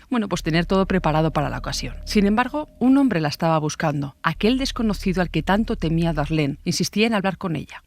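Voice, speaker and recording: Woman narrating true crime. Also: fairly low pitch. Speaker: journalist and podcast host.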